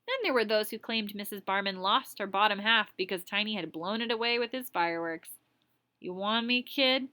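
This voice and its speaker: A woman teacher reading a story aloud expressively, pausing to explain words in a casual way. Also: medium pitch, sharp tone.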